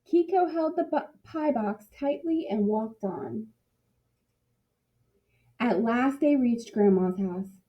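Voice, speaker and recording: A woman with a fairly high voice reads a children's picture book aloud as a teacher would. Her narration is expressive. The recording is slightly muffled and lo-fi. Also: slow pace, long pauses.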